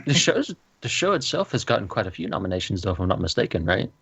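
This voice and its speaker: Low-voiced man in casual banter. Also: sing-song, fast, vocal fry, crowd noise. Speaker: podcast co-host.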